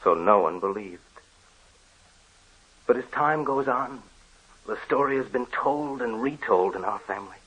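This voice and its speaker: A brooding storyteller recounts a dark family legend in calm, measured tones. His voice is husky and sits at an ordinary pitch. The delivery has the polish of a vintage radio drama. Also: rough recording. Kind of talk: 1940s radio drama narration.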